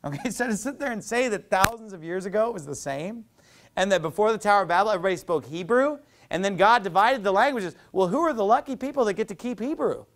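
A man in his thirties with a slightly high voice preaches in a small church. He talks fast and loosely, with asides and lots of gestures, close on a lapel mic. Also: expressive. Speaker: pastor. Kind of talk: sermon. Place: small church stage with painted backdrop, indoors.

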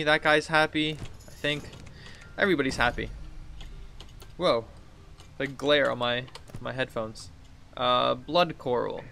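Bright-voiced man playing and commenting on games. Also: a little high, gravelly, slight background noise. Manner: playful, easily exasperated. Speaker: gaming streamer. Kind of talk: casual gameplay commentary with exaggerated read-outs. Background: game music.

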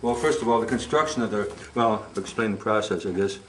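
A man's voice: fairly low pitch, fast, very noisy. Older man explaining old farm ways. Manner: folksy, rambling, full of "you know" and "okay?". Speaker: museum guide. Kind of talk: casual explaining on a tour. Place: inside an old wooden barn. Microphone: camera mic, handheld camera.

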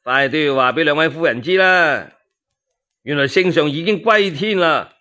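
A commanding, scheming palace official acted by a male storyteller in a historical radio tale. He speaks Cantonese-accented lines with curt authority and a widely moving pitch. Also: lively intonation, normal speed, bright, forceful tone. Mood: curt, urgent.

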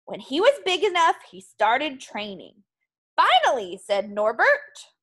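A woman teacher reading a picture book aloud to young children in an animated, expressive way. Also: high-pitched, unhurried.

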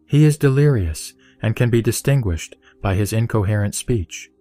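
A man reading a Buddhist scripture aloud in a slow, measured recitation. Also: fairly low pitch, animated, no room sound. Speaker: scripture reader. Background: soft background music.

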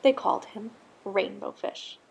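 A woman narrating a children's storybook aloud in an expressive, scripted style. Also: mid-range voice, unhurried, vocal fry, breathy edge, poor audio. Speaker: storybook reader.

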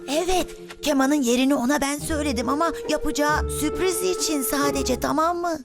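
A woman's voice: sits high, a little fast, vocal fry. A woman voicing a naive, good-hearted young bee boy in a dubbed children's cartoon, gullible and a bit whiny. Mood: pleading. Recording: loud music under the voice.

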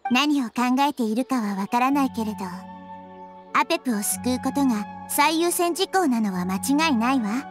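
A woman voicing a wise, gentle, childlike nature goddess in an anime game, explaining things with composure. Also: sits high, expressive, normal speed, soft background music. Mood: thoughtful. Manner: composed, explanatory, feminine phrasing. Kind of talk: Japanese anime game voice acting.

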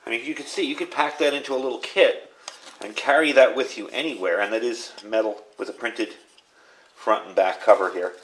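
A man with a mid-pitched voice talks through a product in an informal, unscripted way, like a hands-on reviewer. He is recorded indoors at a workbench, with faint but noticeable noise behind his voice. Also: fairly quick, fairly bright tone, low-quality recording. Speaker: radio reviewer. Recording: clear background noise, room ambience.

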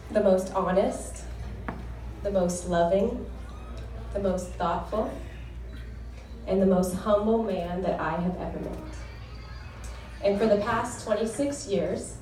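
A woman's voice: medium pitch, warm tone. Earnest young woman toasting in an echoey hall. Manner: earnest, heartfelt, devout. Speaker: groom's sister giving a wedding toast. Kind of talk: formal wedding toast with scripture readings. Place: wedding reception hall. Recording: echoey hall recorded from far off.

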